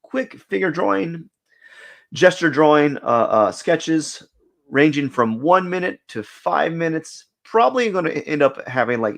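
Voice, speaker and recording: A man with a raspy, gravelly voice talks on a live art stream as he sketches. He rambles, trips over his words and starts lines again, in the easy tone of someone drawing and chatting at once. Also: mid-range voice. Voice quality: raspy, cracky voice. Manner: rambling, self-correcting, thinks aloud. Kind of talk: casual live stream chat while drawing.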